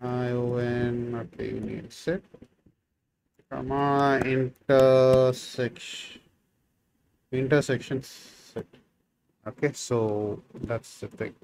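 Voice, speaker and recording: A man in his thirties, a native Tamil speaker, talks through a coding lesson at his desk, rambling and thinking aloud. His voice sits at a middle pitch. A faint hiss runs under the recording. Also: steady tone, slow, vocal fry, long pauses. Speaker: programming tutor. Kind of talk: casual screen-share coding tutorial. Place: small room indoors.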